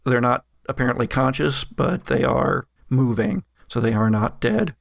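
A man narrating a tabletop game as game master in a casual, improvised, halting way. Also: mid-range voice, creaky, no echo, studio quality. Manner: halting, searching for words.

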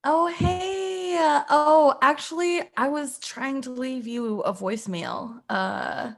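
A woman's voice: high-pitched, slow, gravelly, exaggerated voice. A woman playing an impatient teenage granddaughter, hesitant and placating, in an improvised comedy scene. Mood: awkward evasive. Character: impatient teenage granddaughter trying to end a phone call.

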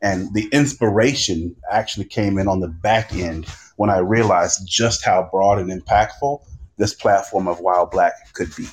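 Low husky guy on a panel, reflective, earnest, leans on his words. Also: moderate pace. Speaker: podcaster on a panel.

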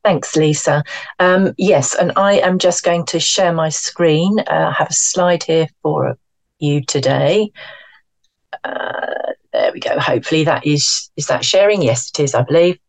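A woman with a low, dry-sounding voice and an English accent talks practice managers through guidance on a video call. She hesitates often and fills gaps with ums. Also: a little slow, vocal fry, no echo. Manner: hesitant, many fillers. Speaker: practice support adviser. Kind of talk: online briefing to practice managers.

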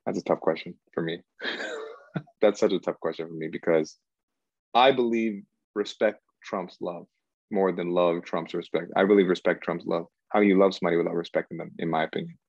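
A very deep, gravelly male voice from a man in his thirties who was born in Cameroon. He thinks aloud as an interview guest, hesitating and circling back. The sound is dry and a little dull. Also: fairly even intonation, moderate pace, a little mellow, no room sound. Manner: searching, hesitant, repeats himself and says "like" often. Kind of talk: reflective conversational interview.

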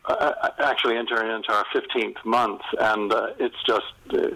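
An older American man from North Carolina telling stories as an interviewed novelist, in an animated, conversational way with emphatic repetition. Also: lowish voice, moderate pace, creaky, poor audio. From North Carolina, United States. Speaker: novelist being interviewed as guest.

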